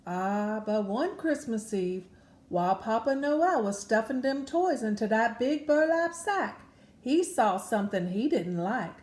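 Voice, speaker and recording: A woman's voice: a little high, animated, measured pace, a little mellow. A woman reads a children's story aloud in a folksy, dramatic storytelling manner. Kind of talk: animated Cajun folk storytelling. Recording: roomy echo around the voice.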